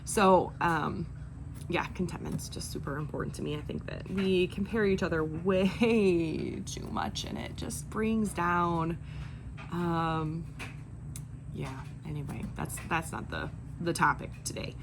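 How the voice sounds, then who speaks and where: A woman's voice: on the low side, measured pace. A woman in her forties chatting casually in a vlog, rambling and self-deprecating as she goes. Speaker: video creator, online clothing reseller. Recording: clear background noise, room ambience.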